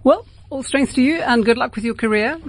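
A woman's voice: a little high, brisk. A woman with a South African accent hosting a late-night radio interview in a conversational, rambling way, often circling back to rephrase.

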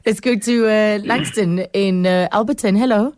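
A woman's voice: mid-range voice, moderate pace, a little mellow, no echo, studio quality. Woman hosting radio. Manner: encouraging, lots of affirming murmurs. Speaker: radio talk show host. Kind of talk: radio call-in talk.

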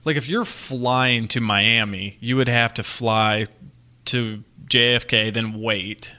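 Bright-voiced man, casual podcast chat. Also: medium pitch, fairly even intonation, slow. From Kansas, US. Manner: chatty, emphatic, jokes about his own trouble with local words. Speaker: podcast co-host.

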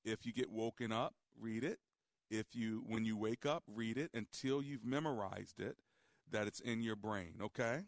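Calm, low-voiced male radio host. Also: creaky, dry recording, studio quality. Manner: calm, deliberate, guiding. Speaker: radio talk show host. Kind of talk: call-in advice radio. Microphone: studio microphone.

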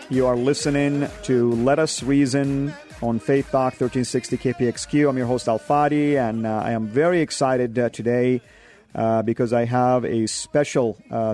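Mellow-voiced man hosting a radio show. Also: medium pitch, fairly even intonation, faint music behind. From Saudi Arabia. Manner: hesitant, many filler pauses.

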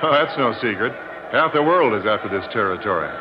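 An actor playing a confident American gentleman adventurer with an American accent and matter-of-fact manner in a 1950s radio drama. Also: on the low side, animated, a little fast, close to an everyday voice. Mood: casual, curious. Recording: noisy muffled old radio recording.

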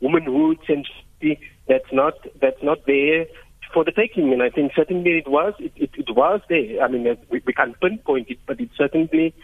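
A South African man whose first language is Afrikaans speaks as a radio interview guest. His voice sits a little high, and he feels his way through sentences, repeating words for emphasis. He comes through a muffled, narrow phone line. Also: lively intonation, brisk, vocal fry. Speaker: interview guest, heritage site chief executive.

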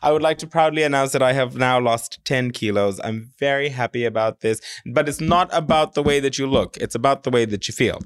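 A man's voice: medium pitch, fairly quick, hardly any pauses, clear, resonant tone. A man in his thirties co-hosting a podcast, bantering casually in a campy, theatrical and teasing manner. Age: 30s. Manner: campy, teasing, theatrical, calls people honey and darlings. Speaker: podcast co-host.

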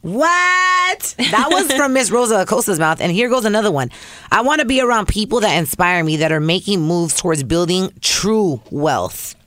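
A woman's voice: mid-range voice, animated, moderate pace, a bit bright, no room sound. A woman co-hosting a podcast in casual chat, switching freely between English and Spanish, upbeat and playful. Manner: upbeat, playful, reads quotes aloud with emphasis. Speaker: podcast co-host.

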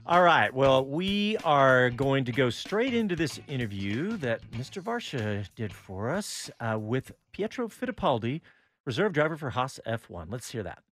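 A man's voice: mid-range voice, big pitch swings, normal speed, a bit bright. A man hosting a radio show, casually handing over to an interview segment. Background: faint music.

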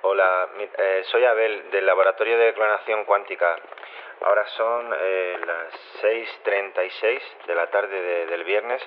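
On a hissy, tinny phone line, an awkward male scientist speaks in a low voice. His is halting and flustered, as in an audio drama voicemail. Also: fairly even intonation. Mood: awkward, hesitant. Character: awkward, hesitant male cloning-lab scientist. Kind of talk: acted voicemail announcement.

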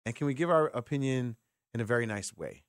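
A man's voice: mid-range voice, animated, fast talker, no echo, clean studio sound. A man hosting a sports call-in radio show, talking casually and giving opinions, repeating words for emphasis. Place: radio studio. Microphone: close studio mic.

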